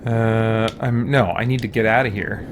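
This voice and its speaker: A man giving casual live commentary on a game, rambling and halting as he thinks aloud. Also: on the low side, steady tone, background noise. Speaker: let's play streamer. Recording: game music behind the voice. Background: video game music.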